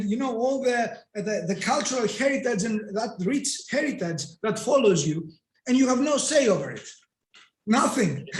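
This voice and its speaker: A Greek-accented man with a very high voice explains his ideas emphatically in an interview. He keeps asking rhetorical questions and answering them himself. The recording has a noticeable echo from the room. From Greece. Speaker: guest coach and NLP trainer. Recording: echoey room.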